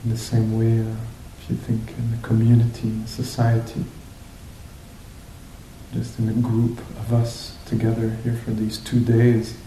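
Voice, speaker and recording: Male meditation guide, unhurried and hesitant, lowish pitch, very slow delivery, recorded in a roomy space with faint rumbling noise. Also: completely flat delivery, mellow. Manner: hesitant, searching, pausing between short phrases. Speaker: meditation teacher leading a group. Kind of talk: guided meditation talk, unscripted and reflective.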